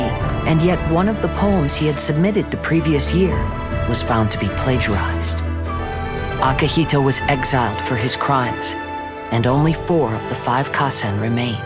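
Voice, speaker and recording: A narrator telling part of a tale in a game cutscene, in a measured, solemn way. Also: mid-range voice, natural voice. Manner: measured, slow storytelling. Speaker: storybook narrator.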